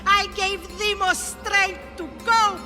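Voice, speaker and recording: Evil cult mother villain, high voice, slow gloating menace, big game music behind her. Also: little pitch movement. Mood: scornful. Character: cruel, fanatical female cult villain, twisted mother. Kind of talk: dramatic video game acting. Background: cinematic game music.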